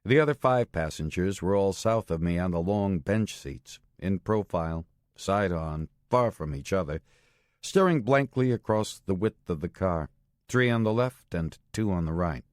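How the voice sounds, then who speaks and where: Deep-voiced American man narrating a thriller. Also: lively intonation, moderate pace, dry recording, clean studio sound. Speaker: audiobook narrator. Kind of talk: scripted first-person thriller narration. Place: studio.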